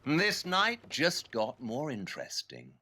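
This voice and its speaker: A man playing a brisk, self-assured Victorian detective, clipped and superior, in an audio drama. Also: mid-range voice, wildly swooping pitch, full character voice. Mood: dry, intrigued.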